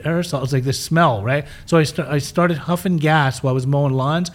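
A man's voice: on the high side, brisk. A middle-aged man who grew up in the United States and first spoke German, telling his life story as a podcast guest in an open, rambling way. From United States (raised in Utah). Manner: open, rambling, restarts words. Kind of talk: candid storytelling interview.

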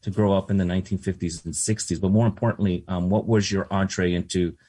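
Low husky-voiced male interviewer. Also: fairly even intonation, mellow. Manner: halting, many filler sounds. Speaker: host.

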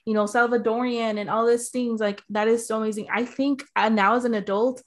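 A woman's voice: a little high, fairly even intonation, a little fast. A woman from Arizona hosting a casual interview, talking with eager, gushing enthusiasm. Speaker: podcast host.

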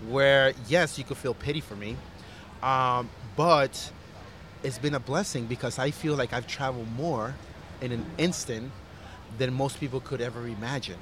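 A man's voice: medium pitch, steady tone, normal speed, background noise. A man who grew up in Costa Rica, a chef talking earnestly and reflectively in a casual interview, with fillers and restarts.

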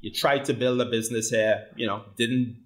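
A man's voice: mid-range voice, steady tone, moderate pace. A man with a Trinidadian accent, a guest in a casual interview, talking animatedly, repeating words as he builds his points and often tagging them with "right?". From Trinidad and Tobago. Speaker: guest, rum company founder.

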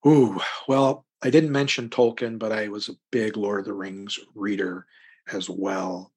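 A man's voice: fairly low pitch, steady tone, a little slow, a little mellow, slightly rough. A middle-aged American man talking casually as an interview guest, thoughtful and rambling, often hesitating as he works out his answer. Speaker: interview guest, crime fiction author.

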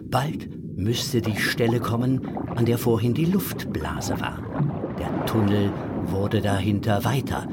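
A man's voice: fairly low pitch, slow pace, heavy background noise. A man narrating a scripted audio story for children. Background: music.

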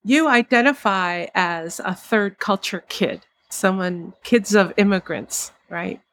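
Woman host asking quick short questions, dry close sound. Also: medium pitch, a bit bright, dry recording. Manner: asks short probing questions. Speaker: podcast host. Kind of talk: interviewing.

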